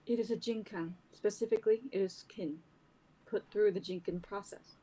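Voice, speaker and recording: A woman's voice: medium pitch, normal speed, warm tone, slightly rough, natural voice, low-quality recording. A woman playing a knowing, matter-of-fact seer in a dramatic fantasy reading. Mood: explaining.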